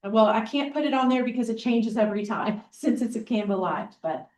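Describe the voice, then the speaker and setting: A woman with a big voice presenting a teaching workshop as a librarian and facilitator, talking in a relaxed, conversational way with many ums. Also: fairly high pitch, fairly quick, a little hiss or hum. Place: meeting room or classroom. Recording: roomy echo, mic far off.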